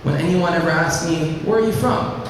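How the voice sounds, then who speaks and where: A teenage boy from Iraq, raised in Lebanon, giving an earnest personal speech to an audience and telling his story at a measured pace. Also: on the high side, slight background noise. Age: teens. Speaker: student speaker. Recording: echoey hall, mic at a distance.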